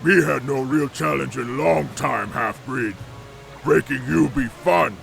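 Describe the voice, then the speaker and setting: A man's voice: medium pitch, a little slow, creaky, fairly bright tone, faint music behind. A man voicing an arrogant, brutish ogre clan chief in a fantasy game, taunting in crude broken grammar. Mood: scornful, boastful.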